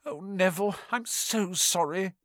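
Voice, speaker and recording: Earnest schoolgirl, high and quick, eager to make amends, read by a man. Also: sing-song, a bit bright, dry recording, clean studio sound, exaggerated voice. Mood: apologetic. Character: earnest, anxious, clever schoolgirl.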